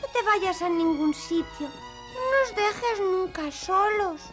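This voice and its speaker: A woman voicing an earnest, anxious young child in a dubbed cartoon, with a high childlike voice. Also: lively intonation, slow, fairly bright tone, full character voice. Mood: pleading. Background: music.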